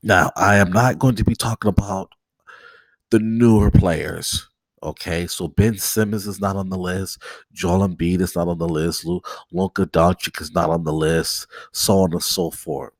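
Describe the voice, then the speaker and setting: A man's voice: sits low, fairly even intonation, moderate pace, dry recording. A man hosting a casual solo podcast, nasal and stuffed up from a cold, rambling and repeating himself as he talks straight to his viewers. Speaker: podcast host.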